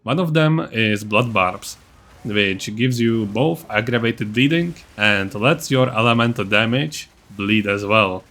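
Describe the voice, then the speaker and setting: A man's voice: on the low side, a little slow, bright, forceful tone. A man narrating a video game guide as its creator, in an informal, matter-of-fact, explanatory way. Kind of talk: casual explanatory build-guide voice-over.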